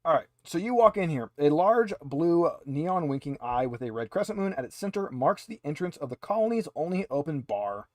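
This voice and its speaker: A man narrating a tabletop game as game master, moving between read description and casual, halting explanation. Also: fairly high pitch, very animated, a little mellow, no room sound, studio quality.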